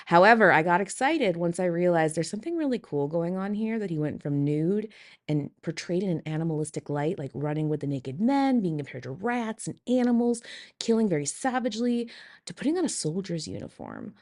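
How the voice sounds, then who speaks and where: A woman's voice: lowish voice, lively intonation, brisk. A woman leaving a recorded listener message, giving thoughtful, enthusiastic commentary in a casual way.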